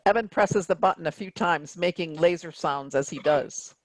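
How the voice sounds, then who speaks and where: A man's voice: a little high, moderate pace, no echo, rough recording. A man reading stage directions as scripted narration for a play.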